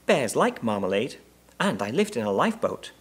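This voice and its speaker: Storybook role: small young bear, performed by a man, high small voice, impeccably polite, earnest and a touch self-important. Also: sing-song, normal speed. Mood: proud, hopeful. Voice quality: small, clear voice. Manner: polite, proper, precise.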